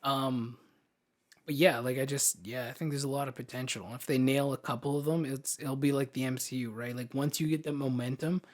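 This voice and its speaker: A man's voice: medium pitch, fairly even intonation, brisk. A man hosting a podcast, chatting casually and informally about films, with slangy phrasing and some mild swearing. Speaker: podcast host. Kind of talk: casual film podcast chat.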